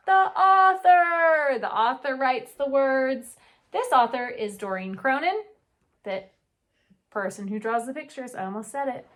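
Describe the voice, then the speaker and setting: A woman teacher reading a children's storybook aloud with chatty asides, putting questions to young listeners and answering them. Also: sits high. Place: indoor reading nook at home.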